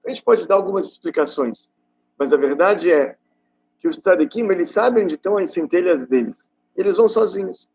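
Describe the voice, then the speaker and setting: Middle-aged male rabbi, slightly high husky voice, informal teaching and storytelling with pauses and questions. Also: animated, darkish tone, poor audio. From Rio de Janeiro, Brazil. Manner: conversational, halting storytelling with rhetorical questions. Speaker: rabbi giving a class. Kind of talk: informal religious talk with personal anecdotes.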